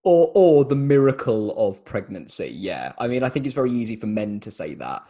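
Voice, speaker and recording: A young man co-hosting a podcast in casual comedic chat, teasing with dry, deadpan jokes into a studio microphone. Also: medium pitch, brisk. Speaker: podcast co-host.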